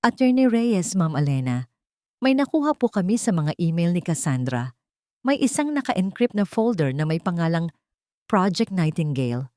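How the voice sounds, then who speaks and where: A woman's voice: lowish voice, very animated, normal speed, a little mellow, no echo, clean studio sound. A woman voicing a serious young male tech expert in an audio drama, respectful and earnest. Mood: serious, urgent.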